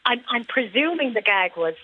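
A woman's voice: a little high, very animated, normal speed. Chatty Irish woman on a phone line. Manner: chatty, emphatic, repeats herself. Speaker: golf club lady captain, caller. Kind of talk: radio phone-in conversation. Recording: dull phone-line sound.

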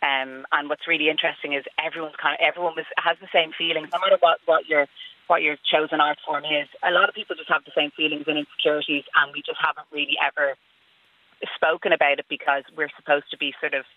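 A woman's voice: low-pitched, flat delivery, fast talker, no echo. A woman with a Dublin accent, an actress calling in to a radio show, talking chattily with many fillers. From Dublin, Ireland. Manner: chatty, rambling, lots of fillers. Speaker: actress, phone guest. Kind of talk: casual phone interview. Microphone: phone. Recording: tinny phone line.

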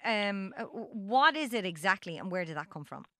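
A woman's voice: mid-range voice, sing-song, fairly quick, sharp tone, no room sound, studio quality. An Irish woman hosting an interview, asking curious questions with hesitant fillers. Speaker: interview host. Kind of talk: casual interview.

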